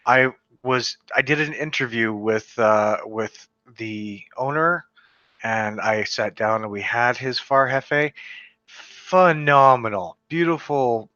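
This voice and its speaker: A man from western Canada talks through a list of beer awards in a loose, conversational way, with a bright, forward voice. He stumbles over unfamiliar brand names as he goes. The recording is dry and slightly dull. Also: on the low side, no room sound. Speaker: beer reviewer. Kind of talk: casual solo video commentary reading award results.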